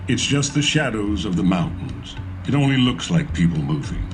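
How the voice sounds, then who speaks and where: Scheming town ruler, lively pitch, scornful, audio drama, music under. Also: male voice, normal speed. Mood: dismissive. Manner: mocking, scornful. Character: scheming town ruler Zebul, adult man.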